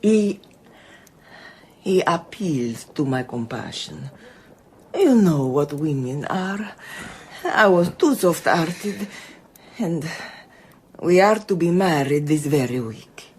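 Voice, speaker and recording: An actress playing an agitated, imperious foreign countess in a radio drama, with a halting, emphatic delivery. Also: female voice, sits low, animated, a little mellow, a little hiss or hum. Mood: troubled confiding.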